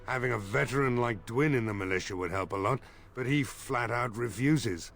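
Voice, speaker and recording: An actor playing a weary, worried village mayor in a fantasy game, with game music behind him. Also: male voice, medium pitch, moderate pace, creaky, a bit bright. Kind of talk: video game voice acting.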